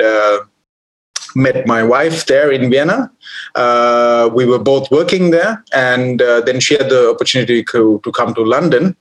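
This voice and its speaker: A man from Sri Lanka, a photographer and podcast guest, who answers slowly and haltingly, with many restarts and fillers. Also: mid-range voice, poor audio. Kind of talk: podcast interview.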